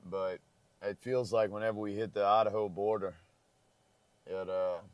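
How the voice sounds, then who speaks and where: Hoarse low-voiced man. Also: flat delivery, normal speed, dry recording. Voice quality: crackly voice. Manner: rambling, easygoing. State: hoarse, losing his voice. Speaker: travel vlogger. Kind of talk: casual chat. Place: parked car.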